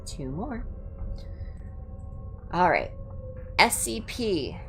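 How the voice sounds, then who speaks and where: A woman's voice: on the low side, big pitch swings, very slow, creaky, fairly bright tone. A woman hosting a livestream, reading clinical horror reports aloud with informal asides and the odd stumble over hard words. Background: music.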